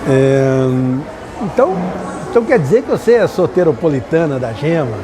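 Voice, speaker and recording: A man's voice: on the high side, very animated, moderate pace. A man conducting an informal interview, often repeating his own phrases as he goes. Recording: noisy location with vehicle sounds.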